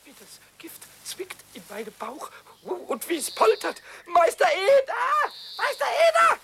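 A cheeky little goblin from an old radio play, whimpering and stammering in melodramatic fits. His voice is squeaky high and crackly, swooping wildly up and down, and he drags out his words slowly. A lilt colors his talk. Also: wildly swooping pitch, creaky, full character voice. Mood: wailing. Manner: whimpering, stammering, dramatic wailing. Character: cheeky little male goblin, whiny and excitable. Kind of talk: 1970s radio play acting.

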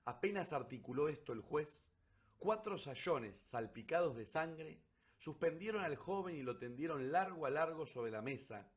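A man narrating a literary story for radio theatre in a solemn, deliberate, dramatic way. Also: fairly high pitch, animated, slightly rough.

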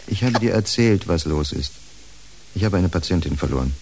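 An actor in a German radio play playing a weary, earnest psychiatrist with a husky voice. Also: male voice, low voice, animated, normal speed, darkish tone, full character voice. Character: weary, earnest male psychiatrist, sad and dutiful. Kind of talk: German radio drama acting.

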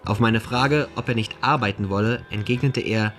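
A man's voice: fairly low pitch, normal speed, fairly bright tone, slight background noise, natural voice. A man playing a dry prison officer in a radio docudrama, reading reports in a clipped, bureaucratic way.

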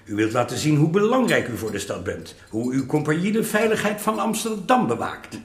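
A man acting a proud, bossy old-Amsterdam master painter in a radio drama, commanding and theatrical, smooth-talking with clients. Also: a little high, sing-song, a little fast. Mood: proud, persuasive. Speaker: actor. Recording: roomy radio play studio sound.

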